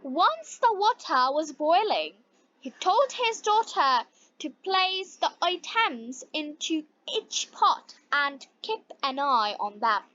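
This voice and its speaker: Lady reading a story lesson, slow n bright, bit muffled recording. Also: high voice, very animated. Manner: slow, deliberate, carefully spaced delivery for learners. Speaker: English teacher and story narrator. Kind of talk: scripted narration of a moral story for language learners.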